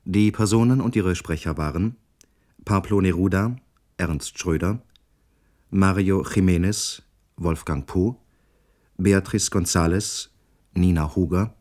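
A man working as a radio announcer, reading scripted credits in a formal, measured manner. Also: sits low, a little mellow.

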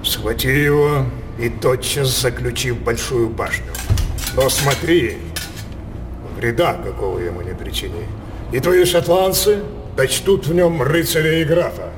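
An actor playing a scheming, depraved king in a theatrical radio drama, declaiming imperiously with teasing pauses. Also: mid-range voice, noisy background, lightly acted. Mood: measured, commanding. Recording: studio radio play over loud music.

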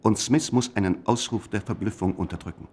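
A man narrating a scripted radio play in a measured, literary manner. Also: on the low side, darkish tone, slight background noise.

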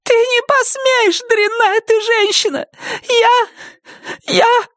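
Nettie, a shrill trembling older woman. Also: lively intonation, slow, no echo, heavily acted. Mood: tearful defiant. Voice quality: high, thin, trembling voice. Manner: shrieking, panicked, near tears. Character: timid, high-strung older woman, shrill and shaky. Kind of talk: audiobook character voice.

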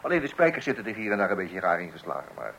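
A man's voice: deep voice, very animated, racing, vocal fry, a bit bright, a little hiss or hum, heavily acted. An actor playing a fussy father who hesitates and blusters while scolding his kids. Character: fussy middle-aged father.

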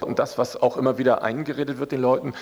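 Gravelly man in a reverberant space. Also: medium pitch, little pitch movement, quick pace, darkish tone. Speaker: interviewee. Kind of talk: spontaneous opinionated interview speech. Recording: reverberant hall with faint noise, clicks.

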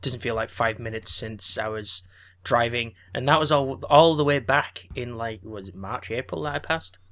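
A man's voice: mid-range voice, moderate pace, fairly bright tone. A man around thirty, co-hosting a podcast in casual chat, joking and swearing freely. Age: 30s. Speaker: podcast co-host.

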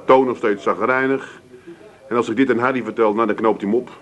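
An actor playing an exasperated, hesitant adult son and wary buyer in a 1980s comic radio play. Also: male voice, medium pitch, fairly even intonation, fairly quick, lightly acted. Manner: hesitant, skeptical, plain-spoken.

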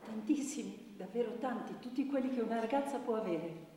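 A woman's voice: fairly high pitch, expressive, fairly quick, lightly acted. An actress playing a wistful young woman making a complaint, earnest, plaintive and hesitant in a theatrical style. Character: wistful young woman reporting her stolen dreams. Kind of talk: theatrical stage acting. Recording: very echoey hall from far off, noisy.

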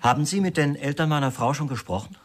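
An actor playing a brooding, evasive writer with a terse, guarded manner in a German radio crime drama. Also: male voice, a little high, fast talker, lightly acted. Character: brooding male writer, evasive husband of a dead woman.

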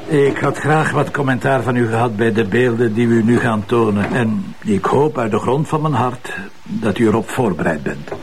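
An actor playing a gruff, impatient police commissioner in a TV crime drama, blunt and sarcastic, with a Flemish accent. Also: male voice, medium pitch, moderate pace, far from the mic, background noise, natural voice. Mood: cool, probing. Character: gruff, irritable male police commissioner with a sharp tongue. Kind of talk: naturalistic TV crime drama acting.

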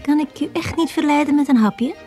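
An actress playing a seductive, coaxing hostess and swindler's lover in a radio drama. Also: female voice, sits high, fairly quick, warm tone, faint music behind.

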